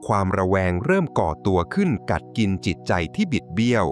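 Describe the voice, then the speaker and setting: A man narrating a folk tale from a script in a dramatic, suspenseful, expressive way. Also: lowish voice, fairly quick. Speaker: storyteller. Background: music.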